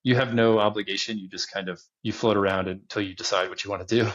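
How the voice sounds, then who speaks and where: A man in his thirties, a podcast guest, talking casually about his business and travels, relaxed and often chuckling. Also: fairly low pitch, fast talker, dull audio. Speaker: podcast guest, land investor. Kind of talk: casual interview talk.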